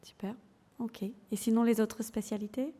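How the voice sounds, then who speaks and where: A woman's voice: on the high side, steady tone, normal speed, warm tone. English-accented woman lecturing in a hall. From England. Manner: engaging, polls the audience, hesitant fillers. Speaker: general practitioner and clinical lecturer presenting. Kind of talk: conference presentation.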